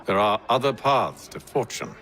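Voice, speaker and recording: An actor playing a composed, principled gentleman leader in a video game, speaking formally with measured, deliberate phrasing. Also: lowish voice, animated, a faint crowd. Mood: probing, reproachful. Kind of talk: video game cutscene acting.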